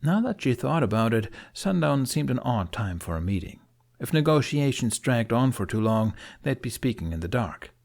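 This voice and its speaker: A man's voice: medium pitch, very animated, moderate pace, creaky, darkish tone. A man reading scripted fiction as an audiobook narrator.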